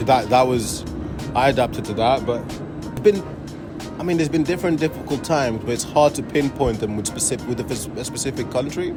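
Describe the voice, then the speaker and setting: A man's voice: mid-range voice, fairly quick, music in the background. Laid-back man with a London accent. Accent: London English. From France, raised in Portugal and London. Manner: loose, laughing, many fillers and restarts. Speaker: guest comedian. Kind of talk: casual interview chat.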